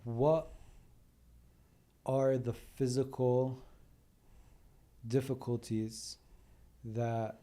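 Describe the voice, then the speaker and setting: A man's voice: mid-range voice, little pitch movement, drawn-out words, pauses often, dark tone. A man hosting a podcast interview, asking short questions and giving brief acknowledgments. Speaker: podcast host.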